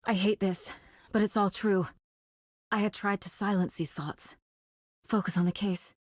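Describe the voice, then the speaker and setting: An actress playing a determined, focused female FBI agent in a video game, with a serious, measured investigator's delivery. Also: mid-range voice, fairly even intonation, no echo, studio quality, natural voice. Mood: despairing. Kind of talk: scripted video game dialogue and inner monologue.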